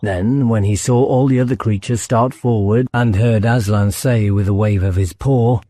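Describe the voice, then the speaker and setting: A man's voice: on the low side, steady tone, moderate pace, mellow, no echo. A man reading a children's fantasy audiobook as narrator, in a dramatic storytelling style.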